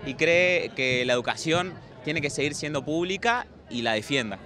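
A man's voice: a little high, expressive, normal speed, pushed and bright, a faint crowd. A young man with an Argentine accent talks earnestly at an outdoor protest into a handheld reporter mic. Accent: Argentine Spanish. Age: young adult. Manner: earnest, moved. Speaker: student union leader. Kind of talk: street interview.

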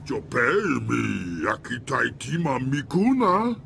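A man's voice: medium pitch, very animated, slow pace, a bit bright, slight background noise, full character voice. A man voicing a hulking, hostile alien gang enforcer in a video game, guttural and growling in an alien tongue. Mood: menacing. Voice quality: gravelly, guttural.

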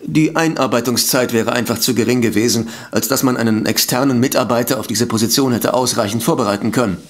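A man's voice: mid-range voice, quick pace, natural voice. Man acting an evasive, formal sanatorium director. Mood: defensive, earnest. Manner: formal, hesitant, self-justifying. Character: evasive male sanatorium director, polite, defensive doctor. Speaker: voice actor in audio drama. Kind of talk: German detective radio play acting.

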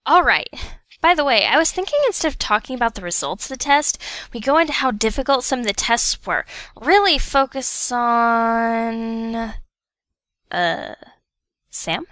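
Woman as a bright, lively teenage girl. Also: on the high side, a little slow, dry recording. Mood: teasing, upbeat. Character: teasing, dependable teenage schoolgirl best friend. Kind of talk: audio drama acting.

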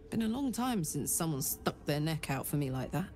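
A woman's voice: low-pitched, sing-song, measured pace, darkish tone, soft background music. A woman playing a wry young tiefling who reflects on being saved. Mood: reflective.

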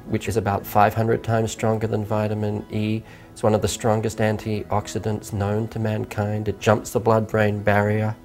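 A man's voice: fairly low pitch, a little mellow. A man in his fifties speaking enthusiastically about ingredients in a documentary interview. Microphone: lapel.

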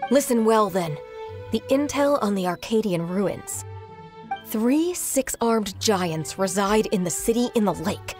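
A woman voicing a measured informant. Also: mid-range voice, expressive, darkish tone, faint music behind, lightly acted. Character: grateful adult woman informant. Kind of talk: video game dialogue.

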